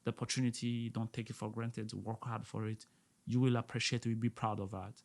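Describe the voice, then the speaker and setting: A man's voice: fairly low pitch, flat delivery, fairly quick. Earnest man from Burundi. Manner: earnest, repeats words when stressing a point. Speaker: restaurant owner, guest. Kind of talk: casual interview talk.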